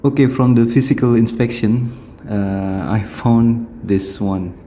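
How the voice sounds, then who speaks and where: A man with an Indonesian accent giving a hands-on repair tutorial in halting, simple phrases. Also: medium pitch, steady tone, warm tone, a little hiss or hum. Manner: halting, short phrases in simple non-native English.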